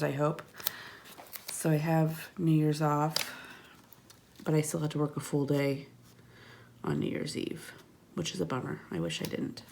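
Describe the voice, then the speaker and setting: A woman in her thirties chatting casually at home while placing planner stickers, rambling and sometimes murmuring quietly to herself. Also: deep voice, vocal fry, a little hiss or hum. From California. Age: 30s. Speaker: planner vlogger. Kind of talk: casual plan-with-me narration. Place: at home, tabletop.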